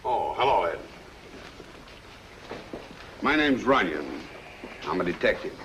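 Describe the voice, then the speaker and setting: An actor playing a portly, genial, unhurried private detective in a 1950s film noir. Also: male voice, fairly low pitch, very animated, a bit bright, exaggerated voice. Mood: calm, probing. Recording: slightly noisy old film soundtrack.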